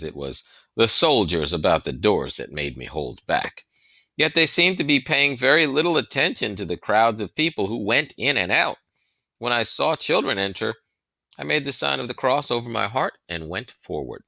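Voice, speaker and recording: A man reading a historical novel aloud as scripted narration, with deliberate, dramatic phrasing and stressed pauses. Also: low-pitched, sharp tone, dry recording. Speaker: audiobook reader.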